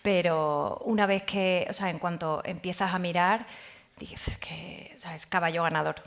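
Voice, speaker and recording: Woman panel guest, lower-pitched, animated storyteller who voices both sides of conversations. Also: normal speed. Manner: animated storytelling, acting out dialogue. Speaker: panel guest, business manager.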